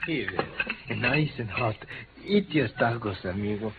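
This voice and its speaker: Through a tinny, hissy old radio recording comes a talkative counterman in a vintage crime drama. He is folksy and evasive, with a very animated pitch that keeps bobbing around. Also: measured pace. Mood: wry, chummy. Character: chatty, evasive male taco-counter man. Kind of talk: 1950s radio drama acting.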